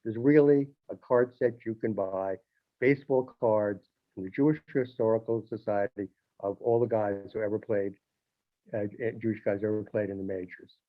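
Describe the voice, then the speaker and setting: A man's voice: on the low side, steady tone, normal speed. Columnist man on a thin phone-like line. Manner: conversational, with restarts and wry asides. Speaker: newspaper columnist. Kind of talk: informal monologue. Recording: phone-like narrow band.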